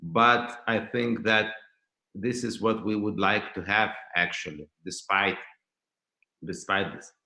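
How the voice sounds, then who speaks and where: A man from Lithuania with a Lithuanian accent, lecturing as a professor at an online conference in a halting way, repeating words and restarting phrases. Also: lowish voice, steady tone, creaky, pushed and bright. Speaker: dental professor and clinician giving a lecture. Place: indoors, remote video call. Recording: roomy, echoing video call sound.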